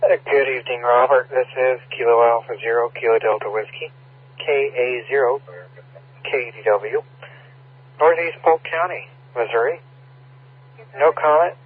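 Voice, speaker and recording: A man's voice: mid-range voice, a little slow, long pauses, husky. A man checking in briefly over amateur radio, reading out his call sign phonetically. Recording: muffled phone-like radio transmission.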